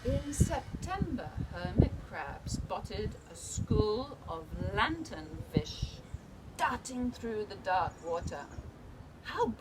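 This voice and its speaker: A woman storyteller reading a picture book aloud, with deliberate, dramatic phrasing for young children. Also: medium pitch, very animated, very noisy.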